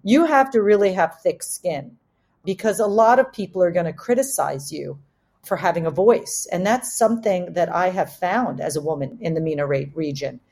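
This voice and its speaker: A woman with a slightly low voice talks candidly about business. She is emphatic and motivational, like a founder addressing peers, and she restates phrases for stress. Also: moderate pace, creaky, a little mellow, breathy edge. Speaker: startup co-founder, panel speaker.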